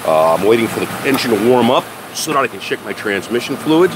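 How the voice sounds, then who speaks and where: An older man, congested from a head cold, hosting a chatty car-maintenance livestream and talking with many pauses and restarts. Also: medium pitch, big pitch swings. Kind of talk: casual livestream chat with viewers. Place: home garage or driveway beside a running car. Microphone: phone camera. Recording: loud engine noise behind.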